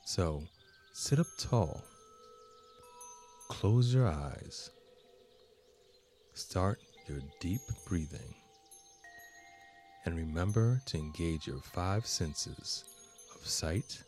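A man narrating a guided meditation as a podcast host, with measured, soothing, deliberate phrasing. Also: deep voice, animated, darkish tone, soft background music.

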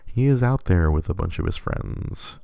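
A sick podcast host, a man whose congested voice sits very low and gravelly. He rambles and corrects himself as he chats. The tone is dark and mellow, picked up dry and close on the mic. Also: lively intonation, normal speed, dry recording. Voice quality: extra bass in the voice from illness. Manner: rambling, self-correcting, chatty. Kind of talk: casual live-streamed podcast monologue.

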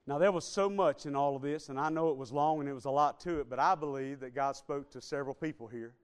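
Male preacher, high clear resonant voice, surges loud then drops to hushed pleas. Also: quick pace. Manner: swings between loud shouted build-ups and soft low appeals. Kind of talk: sermon.

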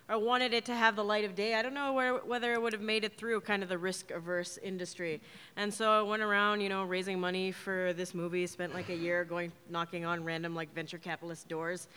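A Canadian-born woman filmmaker talks casually on a panel with a dry, wry humor. Her voice is bright. The hall adds a long reverb. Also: medium pitch, smooth. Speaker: film director and producer. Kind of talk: panel talk.

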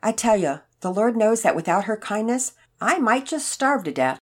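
A woman voicing a sweet, frail elderly man in a story, with a gentle, folksy, rambling manner. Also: medium pitch, very animated, moderate pace, pitched above the performer's own voice. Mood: grateful, folksy. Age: older. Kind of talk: acted character dialogue in children's story narration.

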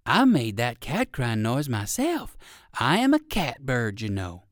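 Storybook mother bird, read by a man: friendly, plain-spoken, animated intonation. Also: mid-range voice, unhurried, dry recording, studio quality. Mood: friendly, proud. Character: friendly mother catbird that mews like kittens.

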